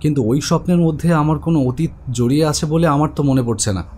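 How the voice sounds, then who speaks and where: A man playing an exasperated husband who runs a trucking business and tells his own story in an animated horror tale, talking conversationally. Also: on the high side, rapid-fire, a little hiss or hum, natural voice. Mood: puzzled. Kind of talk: Bengali animated horror story acting and narration.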